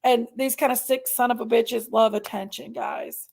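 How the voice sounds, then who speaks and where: A woman with a Southern American accent, raspy and congested from allergies, chatting casually on a livestream and reading out viewers' names. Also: on the high side, fairly even intonation, moderate pace, darkish tone. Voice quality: raspy, gravelly. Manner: drawling, reads out chat names. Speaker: livestream host.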